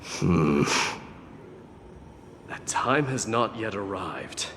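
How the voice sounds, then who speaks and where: An actor playing a proud, stern crown prince in a video game, speaking in a formal, measured, commanding way. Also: male voice, sits low, expressive, fairly bright tone, big-room sound, a little hiss or hum, heavily acted. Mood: weary, solemn.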